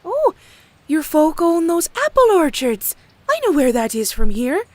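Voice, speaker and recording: A woman voicing a sweetly soothing, slightly eerie motherly pony stranger, gentle and coaxing, in a horror comic dub. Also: high voice, sing-song, unhurried, dry recording. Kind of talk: animated comic dub acting.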